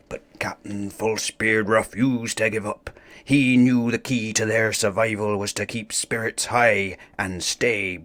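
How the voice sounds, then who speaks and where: A man reading a children's story aloud in a theatrical, deliberate way. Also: lowish voice, sharp tone, no room sound. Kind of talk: dramatic children's story narration.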